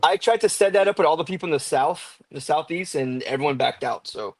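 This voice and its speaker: A man's voice: fairly high pitch, brisk, dry recording. A man talking casually and informally in a group conversation.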